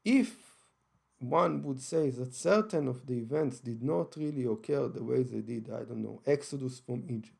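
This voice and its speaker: A man's voice: mid-range voice, normal speed, vocal fry, darkish tone, husky. A man with an Israeli accent, hosting his channel and explaining his views on religion carefully, with frequent hesitations and restarts. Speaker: channel host. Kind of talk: unscripted explanatory talk.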